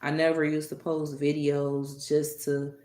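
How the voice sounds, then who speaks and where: A woman hosting a live stream, talking casually and directly to her chat, animated and exasperated with commenters. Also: sits low, measured pace, reverberant.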